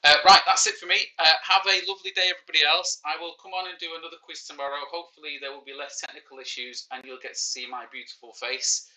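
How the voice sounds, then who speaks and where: Bright joking Mancunian teacher. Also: male voice, on the high side, fairly even intonation, fast, few pauses, rough recording. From Manchester, England. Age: 40s. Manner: joking, self-mocking banter. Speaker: teacher hosting a live quiz. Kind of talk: casual livestreamed lesson.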